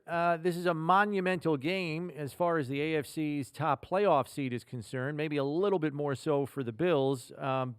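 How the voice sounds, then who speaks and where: A man's voice: fairly high pitch, no echo, studio quality. A man hosting a sports talk podcast, setting up topics and putting questions to a guest. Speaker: podcast host.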